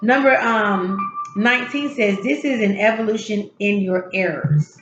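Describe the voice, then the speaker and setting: A woman hosting a tarot and spiritual channel, her voice a little hoarse, talking slowly and encouragingly from her home healing space. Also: medium pitch, echoey room, highs cut off, music in the background. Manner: soft, unhurried, encouraging, with drawn-out pauses. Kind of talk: casual spiritual encouragement talk.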